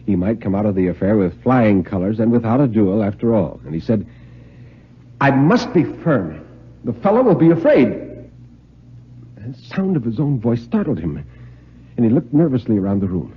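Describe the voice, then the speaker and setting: A man reading a thriller story in a dramatic 1950s radio style, with suspenseful delivery and deliberate pauses. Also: medium pitch, slightly rough. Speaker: narrator and dramatic reader. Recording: muffled, band-limited 1950s radio recording.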